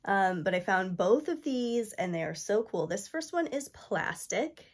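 A woman's voice: mid-range voice, expressive, a little slow, breathy edge. A woman in her thirties, stuffy from allergies, chatting eagerly to camera about thrift finds with lots of ums. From New York State. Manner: chatty, enthusiastic, many ums. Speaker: thrift haul vlogger. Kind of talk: casual chat to camera. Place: indoor room with toy shelves.

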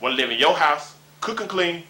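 A man's voice: a little high, animated, brisk, sharp tone, poor audio. A man in his forties from Dayton, Ohio, doing a rambling, boastful comic rant on a local talk show. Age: 40s. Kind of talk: comic monologue and banter. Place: small room indoors.

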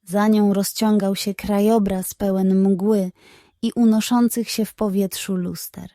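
A woman's voice: mid-range voice, little pitch movement, a little slow, warm tone, no room sound, clean studio sound. A woman narrating a scripted bedtime story for children.

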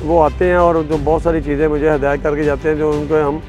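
A man's voice: a little high, little pitch movement, a little fast, darkish tone, muffled recording. A middle-aged man with a Pakistani accent explains things informally to camera as an interviewed stadium caretaker, miked at the lapel. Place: outdoors on a cricket stadium field. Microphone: lapel mic. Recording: music behind the voice.

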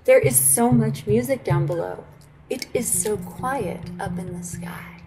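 A woman voicing a gentle, wistful male moon from a storybook, speaking softly and simply. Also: medium pitch, measured pace, loud music behind the voice, lightly acted, poor audio. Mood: dreamy.

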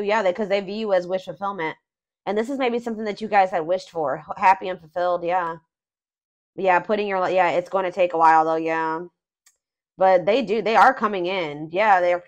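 A woman giving a casual tarot reading off camera, thinking aloud with fillers and restarts, her voice a little hoarse. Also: mid-range voice, dull audio. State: voice going hoarse. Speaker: tarot reader.

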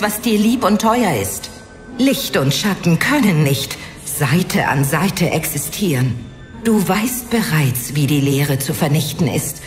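Commanding spirit of light, widely swooping delivery. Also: female voice, fairly low pitch. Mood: stern, commanding. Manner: solemn, authoritative, declarative. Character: commanding, stern female spirit being of light. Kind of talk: audio drama acting.

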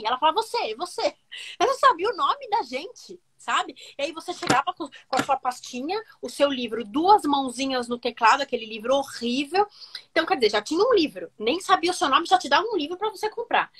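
A woman, telling stories on a video call from a room at home, animated and full of fillers. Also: sits high. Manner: animated, gestures a lot, many fillers. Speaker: music teacher guest. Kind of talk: casual storytelling interview.

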